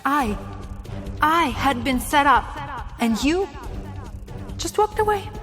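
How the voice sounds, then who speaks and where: Blunt, wounded young mother, high-voiced. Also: very animated, slow pace, long reverb tail, heavily acted. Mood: hurt, angry. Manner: blunt, emphatic. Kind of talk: dramatised audio story acting. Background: music.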